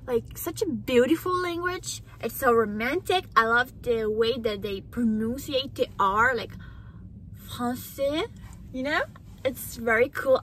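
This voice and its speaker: Teen girl w portuguese accent talking slow in her car, kinda high voice, phone cam vlog. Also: slight background noise, rough recording. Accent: Brazilian Portuguese. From Japan. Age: teens. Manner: slow, searching for words, animated. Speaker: vlogger. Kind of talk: casual chat to camera. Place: parked car, indoors. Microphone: phone camera on dashboard.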